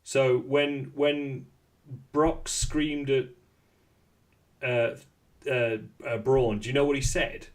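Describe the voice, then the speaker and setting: A man's voice: medium pitch, steady tone, slow pace, long pauses. A man hosts a casual podcast about wrestling. He talks in a rambling, joking manner, breaking off into hesitant little asides. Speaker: podcast host.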